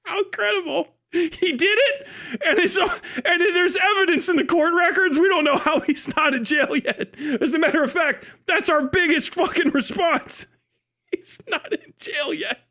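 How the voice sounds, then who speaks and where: Man putting on a squeaky, giddy clueless fan. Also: unhurried, pushed and bright, full character voice. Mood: giddy, sheepish. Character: giddy, clueless devoted fan of a disgraced streamer.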